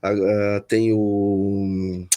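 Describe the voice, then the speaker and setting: A man's voice: lowish voice, little pitch movement, warm tone. A man from São Paulo city with a Paulistano Brazilian Portuguese accent, a guest in a political interview, thinking aloud and often restarting sentences. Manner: thoughtful, restarts often, pauses to find words.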